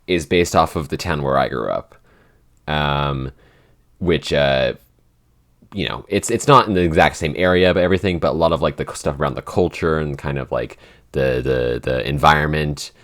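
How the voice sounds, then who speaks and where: Man, very low voice, halting, lots of "like" fillers, easy laughs. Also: fairly bright tone. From Pacific Northwest, USA. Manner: halting, many "like" fillers, laughs between phrases. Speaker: podcast co-host and writer. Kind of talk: casual chat.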